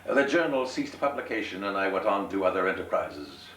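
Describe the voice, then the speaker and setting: A man voicing a small, shabby, proud and melancholy drunken gentleman with florid, proper speech in a story reading. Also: sits low, sing-song, fairly quick. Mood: wounded pride. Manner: florid, proper, pompous oratory. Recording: roomy, distant, noisy amateur home recording.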